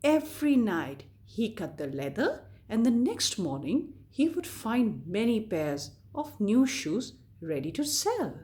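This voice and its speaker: A woman storyteller reading a scripted fairy tale to children in a deliberate, expressive way. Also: fairly high pitch. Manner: deliberate, expressive read-aloud delivery addressed to children.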